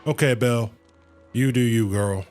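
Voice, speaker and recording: A man's voice: medium pitch, unhurried, a little mellow, a little hiss or hum. A man livestreaming a game, casually reading dialogue aloud and reacting in slang, sometimes stumbling and correcting himself. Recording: game music behind the voice. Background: video game music.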